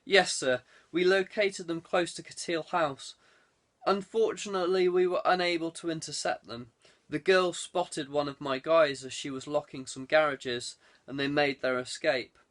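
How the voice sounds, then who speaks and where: A man's voice: a little high, fairly even intonation, normal speed, fairly bright tone, natural voice. A man voicing a deferential henchman in an audiobook, reporting to his villainous lord in a dutiful, matter-of-fact way. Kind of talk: audiobook character dialogue.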